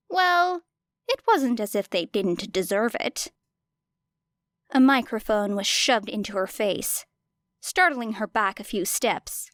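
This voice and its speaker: A woman's voice: medium pitch, no room sound. A woman reading scripted fiction as an audiobook narrator, with dramatic delivery.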